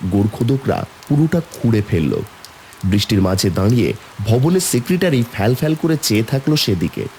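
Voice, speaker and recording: A man's voice: medium pitch, sing-song, brisk, warm tone, slight background noise. A man narrating a horror story in the first person as a somber young man, brooding and suspenseful. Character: somber young man recounting an eerie burial.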